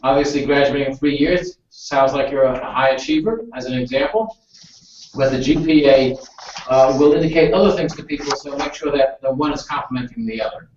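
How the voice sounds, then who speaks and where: A man's voice: mid-range voice, fairly quick, darkish tone, slight background noise. A man on a panel advising students, adding explanatory comments on a conference call. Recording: very echoey, distant, dull conference call.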